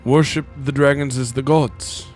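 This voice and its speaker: A man acting as game master, narrating and speaking in character in halting phrases with pauses. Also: medium pitch, fairly even intonation, a little mellow, faint music behind.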